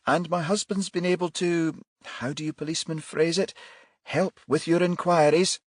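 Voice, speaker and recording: A man's voice: fairly high pitch, fairly even intonation, moderate pace, no room sound, clean studio sound, voice pushed up, close to an everyday voice. A man voicing a cold, sardonic, condescending wife in her late forties for an audiobook. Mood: sardonic, cool. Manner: condescending, dispassionate.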